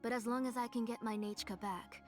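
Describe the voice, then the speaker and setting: A woman voicing a gentle, devoted but ailing mother in a video game, speaking earnestly and with measure over music. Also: on the high side, steady tone, brisk, lightly acted. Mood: earnest, resolved.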